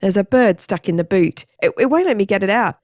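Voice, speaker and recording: A woman voicing a flustered, kindly father who talks in a hurried, friendly way. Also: medium pitch, lively intonation, a little mellow, dry recording, studio quality, close to an everyday voice.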